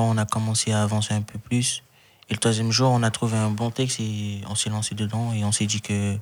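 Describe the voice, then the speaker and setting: A teenage male from Martinique chats casually as an interviewee. His voice is fairly low in pitch, and he speaks informally as a beginning rapper. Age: teens, sixteen. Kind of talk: casual chat.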